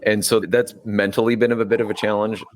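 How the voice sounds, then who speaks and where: A man's voice: on the low side, flat delivery, brisk, background noise. A man in his forties, a triathlete and biology teacher, talking casually in an interview and often interrupting himself mid-sentence. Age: 40s. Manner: rambling, self-interrupting, earnest.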